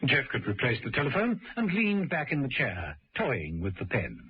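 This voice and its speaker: A man's voice: medium pitch, huge pitch swings, moderate pace, breathy edge, dry recording. A man narrating a radio drama from a script, as its storyteller. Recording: muffled, hissy old radio recording.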